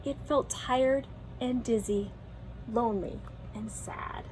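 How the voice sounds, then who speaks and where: Lady teacher reading a kids book out loud, high voice, expressive, stresses her words. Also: takes it slowly, noisy background. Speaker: teacher reading aloud to pupils. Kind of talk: expressive children's storybook read-aloud.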